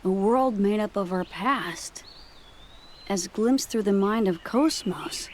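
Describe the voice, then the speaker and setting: A woman's voice: mid-range voice, slow, slight background noise, close to an everyday voice. A woman playing a composed, plain-spoken young character in a dubbed sci-fi game cutscene. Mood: reflective.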